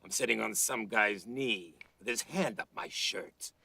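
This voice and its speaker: An actor playing a cursed, wisecracking tough demon hunter trapped in a puppet's body in a TV drama, with a raspy voice and wry delivery. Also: male voice, on the low side, unhurried, bright, forceful tone, slight background noise, heavily acted. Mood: wry, matter-of-fact. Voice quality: gravelly, raspy. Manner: wry, hard-boiled wise guy. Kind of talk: scripted TV drama dialogue.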